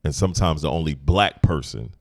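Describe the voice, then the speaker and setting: Deep, low-pitched man hosting a podcast. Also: fairly quick, dry recording, studio quality. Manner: relaxed, agreeable, frequent "mm-hmm" and "right". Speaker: podcast host. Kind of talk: casual podcast chat.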